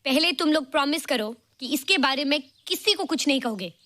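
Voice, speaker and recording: A woman's voice: high voice, lively intonation, brisk, sharp tone. An actress playing a kind, thoughtful young woman in a Hindi film, with faint music behind her. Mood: gentle, explaining.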